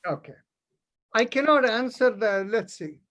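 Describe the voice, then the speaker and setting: A middle-aged man with an Indian accent, a native Tamil speaker, gives a spiritual lesson. He explains ideas step by step in a didactic way, often pausing to check that he is understood. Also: high voice, expressive, fairly bright tone. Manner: didactic, checks understanding with short tag questions. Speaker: yoga philosophy teacher.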